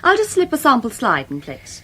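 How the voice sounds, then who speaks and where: A woman's voice: sits high, wildly swooping pitch, normal speed, bright, forceful tone, breathy edge, full character voice. An actress with a British accent playing a polite, precise saleswoman with a formal manner in a radio drama. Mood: helpful. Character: polite, precise, helpful shop assistant selling microscopes.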